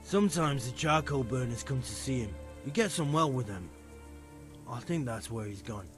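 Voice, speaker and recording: An actor playing a hesitant, loyal, earthy medieval stable worker in a video game, folksy and earnest. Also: male voice, mid-range voice, animated, normal speed, over music, close to an everyday voice. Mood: helpful. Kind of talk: conversational video game cutscene acting.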